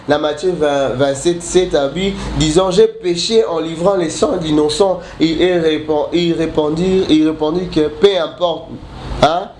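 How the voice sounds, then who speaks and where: A man preaching a sermon while he has a cold, halting and restarting often, then building emphatic repetitions. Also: a little high, darkish tone. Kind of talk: sermon mixing French and Lingala. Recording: echoey room with faint ambient noise.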